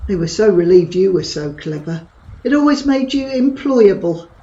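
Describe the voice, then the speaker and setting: An actress playing a blunt, teasing imaginary best friend in an audio drama. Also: female voice, medium pitch, animated, normal speed, dark tone, far from the mic, natural voice. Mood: rueful. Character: sharp-tongued imaginary best friend, mocking. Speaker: actor. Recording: roomy, dull lo-fi, off-mic.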